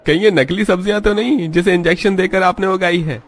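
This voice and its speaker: Man acting a chatty, higher-voiced market customer. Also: a little fast. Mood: surprised, suspicious. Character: chatty middle-class male market customer, curious and jovial. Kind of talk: Hindi moral-story cartoon dubbing. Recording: music behind the voice.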